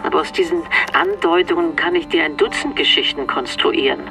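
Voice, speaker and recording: A woman's voice: deep voice, fairly quick, recorded from a distance, music in the background. An actress playing a defensive, exasperated middle-aged mother in a naturalistic radio drama. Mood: evasive. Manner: exasperated, dismissive. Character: defensive, irritable middle-aged mother dismissing family secrets.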